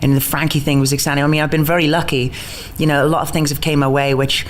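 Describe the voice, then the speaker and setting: A man from the north east of England whose accent has become a mix of Geordie and American speaks quickly and excitedly, tripping over his words and laughing. His voice sits a little high. There is a faint hum of ambience behind him. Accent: mixed, mostly neutralised Geordie with American touches. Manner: rapid, bubbly, stumbling restarts, laughs often. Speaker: interviewed stage actor. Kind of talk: casual interview chat.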